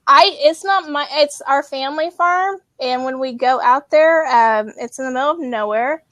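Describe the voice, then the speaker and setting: A woman's voice: sits high, moderate pace, a bit bright, no room sound. A woman hosting a casual live video chat, talking loosely with many ums and frequent laughs. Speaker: host.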